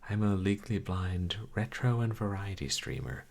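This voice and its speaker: Very deep, calm-voiced male streamer. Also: normal speed, creaky. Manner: soft-spoken, calm, rambling with fillers. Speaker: game streamer. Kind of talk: casual live-stream commentary.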